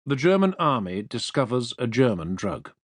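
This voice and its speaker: A man reading a nonfiction history audiobook as a narrator, with measured, deliberate phrasing, close to a studio microphone. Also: mid-range voice, very animated, no echo. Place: dry recording studio.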